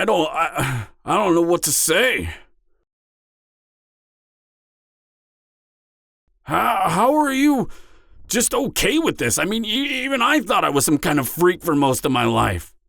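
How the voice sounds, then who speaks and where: A man's voice: on the high side, creaky, a bit bright, no room sound, clean studio sound, heavily acted. A man playing a hesitant, self-doubting half-giant mercenary. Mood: overwhelmed, touched. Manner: hesitant, halting, stammering. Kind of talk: intimate confessional audio roleplay acting.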